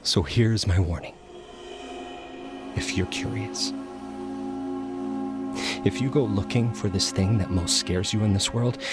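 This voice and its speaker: An actor playing a fearful, solitary male professor who narrates an audio drama in a grave, hushed, deliberate way. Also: mid-range voice. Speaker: narrator and lead character.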